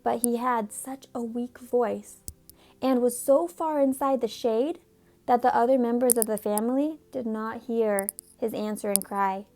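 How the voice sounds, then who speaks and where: A woman reading a children's book aloud in a measured way, stopping now and then to talk about the pictures. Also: on the high side, warm tone. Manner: measured, pausing to comment on the pictures. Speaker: storybook reader.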